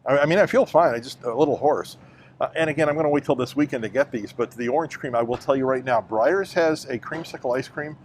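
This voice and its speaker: Hoarse man, Midwestern accent, chatty vlog host, faint hum behind, mid-pitched. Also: quick pace. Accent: Midwestern American. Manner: chatty, talks prices aloud. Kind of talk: casual shopping vlog chat. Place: car, then grocery store aisles.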